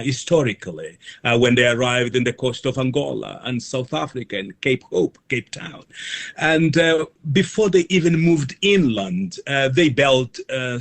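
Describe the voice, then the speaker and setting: A man speaking as an interview guest, explaining things in formal, academic wording with halting delivery. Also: medium pitch, a bit bright. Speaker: guest, reverend and mission organisation officer. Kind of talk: considered explanatory interview answers.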